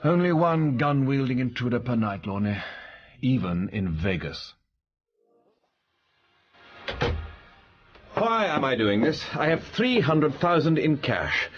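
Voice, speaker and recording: A man's voice: medium pitch, very animated, measured pace, lo-fi sound, noisy background. An actor playing a suave, world-weary male entertainer in a radio drama, with arch, dry, theatrical phrasing. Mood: wry, subdued.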